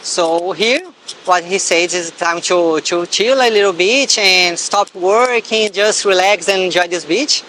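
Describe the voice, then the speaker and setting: A young man with a Brazilian Portuguese accent talking in a relaxed way during a beach interview. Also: sits high, unhurried, slight background noise, low-quality recording. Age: young adult. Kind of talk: casual street interview. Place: beach, outdoors.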